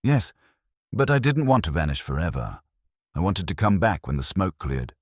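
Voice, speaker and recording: A man voicing a nervous, earnest young woman who confesses her part in a scheme, his voice pitched up and hesitant. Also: very animated, normal speed, dry recording, clean studio sound.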